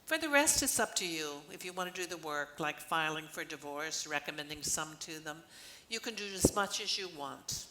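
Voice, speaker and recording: A woman's voice: deep voice, animated, moderate pace, sharp tone, low-quality recording. An older woman reading the part of an earnest, explanatory legal clinic volunteer in an amateur play. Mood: earnest explaining.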